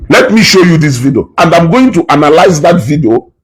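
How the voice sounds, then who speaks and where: Impassioned husky man. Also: a little high, sing-song, a little fast. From Nigeria, Igbo. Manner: passionate and preachy, repeats words for emphasis. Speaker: commentator. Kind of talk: reaction commentary.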